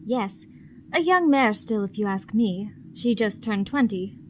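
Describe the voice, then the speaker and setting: A woman playing a composed, formal mature pony madam in an audio drama, measured and politely chiding. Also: mid-range voice, lively intonation, darkish tone, soft background music, natural voice. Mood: calm, correcting.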